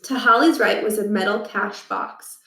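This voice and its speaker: A woman reading a children's storybook aloud with expressive, deliberate delivery in a roomy space. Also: mid-range voice, recorded from a distance. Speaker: read-aloud reader. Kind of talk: scripted storybook narration. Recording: roomy, echoing room.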